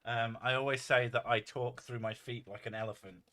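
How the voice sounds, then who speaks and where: A man with a deep, boomy voice chats casually on a home stream, joking and rambling with the odd curse. His tone is bright and forceful, and his microphone sits well above his head, so he sounds a little set back from it. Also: steady tone, moderate pace. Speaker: streamer host. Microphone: desk mic set well above his head.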